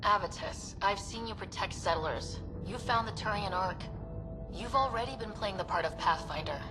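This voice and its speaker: A woman voicing a steady, reassuring female explorer leader in a game. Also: mid-range voice, moderate pace, close to an everyday voice, poor audio. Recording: game music behind.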